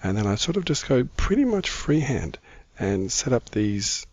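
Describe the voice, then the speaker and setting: A middle-aged man with a croaky voice, giving casual, easygoing gaming commentary as the host of a video. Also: mid-range voice, sing-song, normal speed, a little mellow, no echo. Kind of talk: casual Minecraft let's-play commentary.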